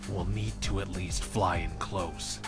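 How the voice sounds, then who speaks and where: A man's voice: low-pitched, fairly even intonation, a little slow. A man playing a brooding, curt anti-hero agent with a dry, disdainful manner in an audio drama. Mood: curt, businesslike. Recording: loud music under the voice.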